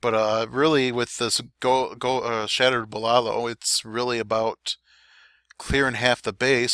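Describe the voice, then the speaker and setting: A man giving relaxed, chatty play-by-play commentary over game replays, his throat a little dry. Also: medium pitch, dry recording. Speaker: clan war recap commentator.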